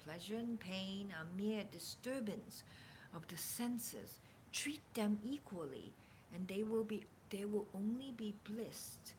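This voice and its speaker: A woman reading spiritual teachings aloud in a deliberate, emphatic way, sometimes dropping toward a breathy near-whisper. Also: medium pitch, animated, a little mellow, a little hiss or hum, low-quality recording. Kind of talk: slow dramatic reading of spiritual dialogue with casual asides.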